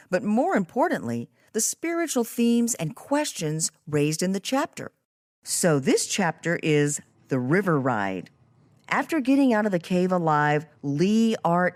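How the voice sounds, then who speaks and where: A woman's voice: lowish voice, a little mellow. A woman hosting a podcast, presenting and asking questions in a scripted interview style. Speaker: podcast host.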